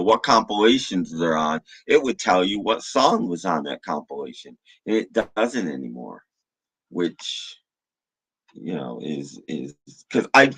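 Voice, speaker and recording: A man with a rough, slightly hoarse voice rambling casually on a livestream in a halting, low-key, mildly grumbling way. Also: fairly bright tone, rough recording. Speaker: live streamer.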